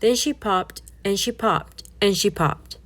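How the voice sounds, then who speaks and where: A woman narrating a children's storybook aloud in an expressive, scripted read-aloud style. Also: mid-range voice, unhurried.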